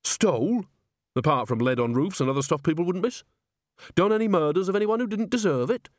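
A cheeky old witch woman from a storybook, acted out by a man, lifted high and very lively. She is earthy and rebellious, always ready to grumble or needle. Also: brisk, dry recording, clean studio sound.

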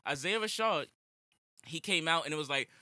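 A man in casual chat with friends about music, talking quickly and laughing often. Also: on the high side, expressive, pushed and bright, no echo, clean studio sound. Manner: quick, laughs often, jumps between ideas.

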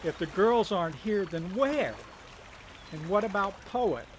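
Squad-leading lawman played by a man, high-pitched, clipped and commanding, radio serial over loud background noise. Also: animated, a little slow. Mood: stern, frustrated. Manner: barking, clipped orders. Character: commanding male federal agent leading a raid squad. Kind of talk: 1930s radio drama acting.

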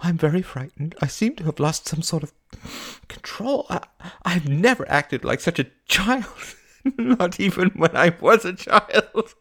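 A man's voice: fairly high pitch, lively intonation, normal speed, a little mellow, slightly rough, voice pushed up. A man voicing a giddy, overwrought young woman in an audiobook, theatrical, breathless and stammering, with a proud, tearful edge and brittle laughter. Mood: anxious, pleading. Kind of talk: audiobook character acting.

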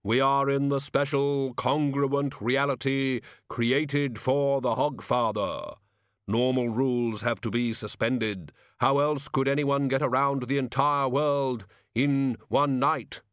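Guy doing the grim reaper for an audiobook, real slow and grave, very polite and formal. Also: mid-range voice, steady tone, a bit bright, clear, resonant tone, no echo, clean studio sound, pitched above the performer's own voice. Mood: grave, explaining. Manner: formal, measured. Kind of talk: audiobook character reading.

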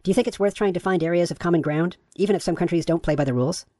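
A man reading the lines of a courteous, gender-neutral AI chatbot, with his voice pitch-shifted upward. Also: very fast, warm tone, dry recording, studio quality. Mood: polite, agreeable. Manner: courteous, affirming, asks follow-up questions. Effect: pitch raised.

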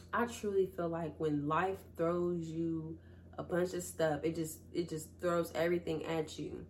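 A woman's voice: fairly low pitch, a little mellow, slight background noise. A young woman hosting her own podcast, talking casually to her listeners and calling them y'all and baby, still getting over a cold. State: recovering from a cold. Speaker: podcast host. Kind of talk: casual solo talk to listeners.

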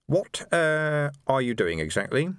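A man's voice: mid-range voice, sing-song, measured pace, fairly bright tone, clean tone, dry recording, voice pushed up. Guy doing a nervous henpecked husband in an audiobook, mumbly, hesitant, sorry for himself, dry sound. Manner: hesitant, murmuring, sighing. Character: timid, henpecked middle-aged husband, anxious and apologetic. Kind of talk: audiobook character voice.

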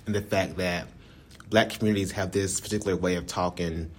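Low-pitched American man hosting a podcast. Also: steady tone, fairly quick, slight background noise. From United States. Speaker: podcast host. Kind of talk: moderated casual discussion.

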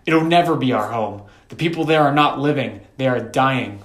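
A man's voice: mid-range voice, moderate pace, roomy sound, natural voice. A man voicing an earnest, determined older brother, a boy who talks in a reassuring, insistent way in a story. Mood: agitated, insistent.

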